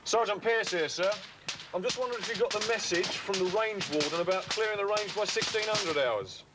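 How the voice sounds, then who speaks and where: An actor playing an apologetic British army sergeant in a training film, deferential and hurried toward his superior. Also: sits high, natural voice. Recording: very noisy 1980s film, gunfire behind.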